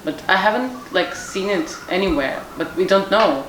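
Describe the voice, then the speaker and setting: A woman's voice: fairly low pitch, animated, normal speed. Informal woman in an echoey room. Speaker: photographer, panel guest. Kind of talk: casual unscripted chat. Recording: echoey room, distant mic, faint noise.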